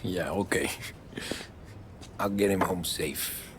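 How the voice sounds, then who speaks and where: A man's voice: deep voice, slow, heavy background noise. An actor playing a loyal, modest young gangster in a video game, understated and deferential to his boss. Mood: modest, relaxed. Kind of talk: dramatic video game acting.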